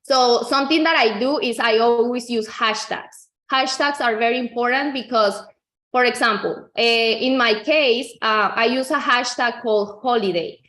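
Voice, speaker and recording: A woman from Colombia, a native Spanish speaker with a Colombian accent, giving an informal software tutorial in a conversational, explanatory way, often repeating herself. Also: on the high side, normal speed. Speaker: real estate agent giving a software tutorial. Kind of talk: informal screen-share tutorial.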